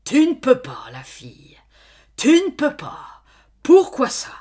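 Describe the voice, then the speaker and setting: A woman voicing a brutish, stubborn middle-aged farmer who loudly interrogates his servant girl in a storybook reading. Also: fairly low pitch, very animated, slow, full character voice. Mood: angry. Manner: loud, angry, interrogating.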